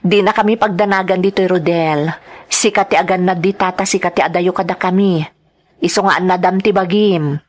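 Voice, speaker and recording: A woman's voice: lowish voice, steady tone, fairly quick, natural voice. A woman acting as a carefree, free-spending young mother in an audio drama, with an Ilocano accent. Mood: caring.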